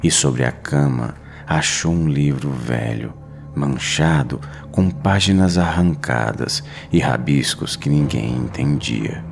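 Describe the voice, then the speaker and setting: A Brazilian man narrating a scripted horror story in a dramatic, suspenseful manner with deliberate pauses. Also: extremely low pitch. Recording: narration over clear background music. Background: music.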